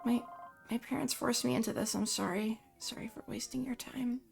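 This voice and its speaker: A woman voicing a timid young woman who apologizes quietly and breaks into defiant shouts. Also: fairly high pitch, steady tone, moderate pace, creaky. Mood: meek.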